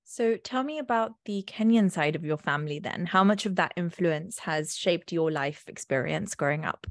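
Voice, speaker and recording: A woman's voice: fairly low pitch, normal speed, no room sound, studio quality. A woman hosting an interview, asking questions in an encouraging, friendly way. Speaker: podcast host. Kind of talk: casual interview chat.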